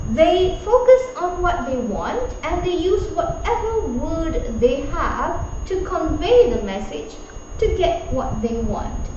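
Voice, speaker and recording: A woman's voice: high voice, background noise. A woman from Malaysia, Tamil-speaking, with a Malaysian English accent, teaching an encouraging English lesson and often laughing. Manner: encouraging, repeats key points, laughs often. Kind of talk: online language lesson. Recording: echoey room, dull lo-fi sound.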